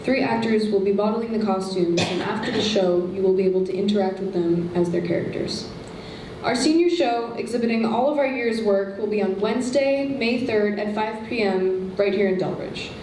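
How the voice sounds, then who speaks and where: A young woman in her late teens gives a steady, formal announcement in a mid-range voice. She sounds distant and washed in echo, and a clear background hiss runs underneath. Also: medium pitch, normal speed. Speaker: student presenter. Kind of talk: prepared announcement. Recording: echoey hall, distant mic, noise.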